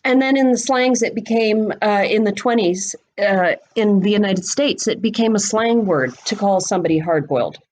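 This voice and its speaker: A middle-aged woman speaking as a guest expert on a podcast, explaining things informally and deliberately, with pauses between phrases. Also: mid-range voice, expressive, darkish tone, slight background noise. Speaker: guest expert on mystery fiction, bookseller and writer.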